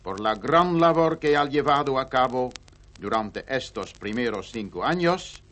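Dutch man giving a slow formal address. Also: mid-range voice, expressive, fairly bright tone. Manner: measured, ceremonious. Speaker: radio program director. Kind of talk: formal prepared speech.

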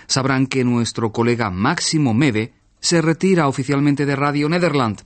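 Man, mid-pitched radio host, friendly prompting. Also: normal speed. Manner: brief backchannel, light and friendly prompting. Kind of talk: radio interview hosting.

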